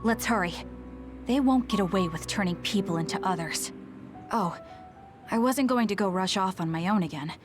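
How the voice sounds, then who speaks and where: A mid-pitched young heroine voiced by a woman from an anime video game. She speaks directly and seriously, an earnest soldier with faint music behind her. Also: normal speed, natural voice. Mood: determined. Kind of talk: dubbed anime-style video game acting.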